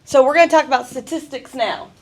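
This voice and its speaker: A woman gives a presentation at a college event. Her voice sits a little high, and she talks in a lively, chatty storytelling way. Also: fast talker. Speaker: student presenter. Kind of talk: class presentation.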